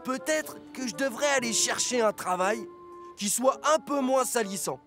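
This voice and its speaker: A man's voice: very high-pitched, normal speed, over music. A man voicing a scruffy, good-natured young engine in a children's cartoon, with a boyish, earnest manner. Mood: reluctant. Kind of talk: dubbed children's cartoon acting.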